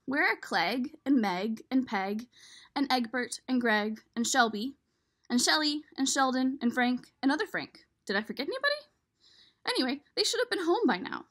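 A woman's voice: high-pitched, animated, moderate pace, fairly bright tone. A woman voicing a worry-prone homebody egg from a picture book, reading expressively and chattily to the listener. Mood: uneasy. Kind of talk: expressive storybook read-aloud.

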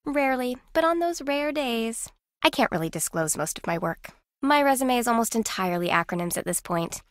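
A woman playing an aloof, competitive, secretive contestant in a video game, with a deadpan, clipped manner. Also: fairly high pitch, a little fast, dry recording, studio quality, lightly acted. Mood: cool, dismissive. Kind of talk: video game character acting.

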